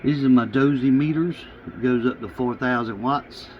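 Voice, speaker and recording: A man gives a casual, unscripted walkthrough of his equipment in a halting, rambling, plain-spoken way, recorded in a room at home. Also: mid-range voice. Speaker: hobbyist vlogger showing radio gear. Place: small home radio room, indoors. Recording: muffled lo-fi audio with background noise.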